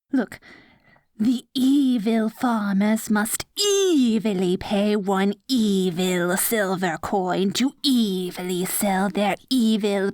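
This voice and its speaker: A woman's voice: mid-range voice, a little mellow, dry recording, clean studio sound. A woman playing an adorkable evil sorceress queen in a scripted roleplay audio, petulant and gleefully wicked, sliding from theatrical gloating into casual chatter. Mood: exasperated, bossy.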